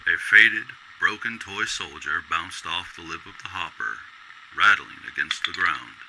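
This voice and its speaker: A man's voice: low voice, animated, measured pace, creaky, bright, forceful tone, slight background noise, rough recording. A man narrating a scripted radio drama as a storyteller.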